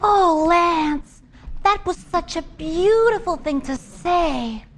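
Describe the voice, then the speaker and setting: An actress playing a sweet, flirty game show assistant in a video game. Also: female voice, extremely high pitch, animated, takes it slowly, heavily acted. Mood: sweet, breezy. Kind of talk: showy TV co-presenting in a game.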